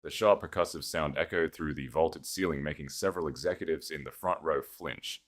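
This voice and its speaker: A male storyteller with a low-pitched voice narrates fiction. His delivery is scripted and dramatic, in audiobook style. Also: brisk.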